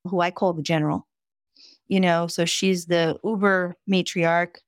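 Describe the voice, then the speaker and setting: A woman in her fifties from the Dominican Republic, Spanish her first language, speaks in a fairly low, dry-sounding voice. She tells family stories vividly and often checks in with a quick "right?". The setting is a relaxed podcast conversation. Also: no room sound. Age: 50s. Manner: lively, vivid storyteller with frequent "right?" asides. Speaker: podcast guest, physician. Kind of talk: casual conversation, personal storytelling.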